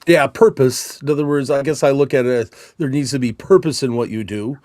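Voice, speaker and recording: Male co-host, medium pitch, casual explainer who thinks aloud, dry close sound. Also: fairly quick, darkish tone, no room sound. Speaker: podcast co-host and Christmas performer. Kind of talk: casual instructional discussion.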